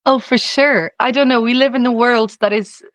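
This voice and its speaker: A woman's voice: a little high, fairly even intonation, normal speed, no echo. A young woman from Czechia, a singer-songwriter guest, talking casually in an interview, rambling with lots of "you know" and easy laughs.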